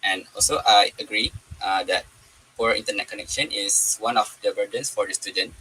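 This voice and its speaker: A man's voice: lowish voice, steady tone, moderate pace, sharp tone, a little hiss or hum, rough recording. A young man on a forum panel answering a question with many hesitations and fillers.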